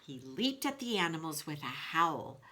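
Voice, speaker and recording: A woman with a bright, fairly low voice reads a children's storybook aloud as a school librarian. Her manner is reassuring and explanatory, pitched at young pupils. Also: very animated, normal speed, slight background noise.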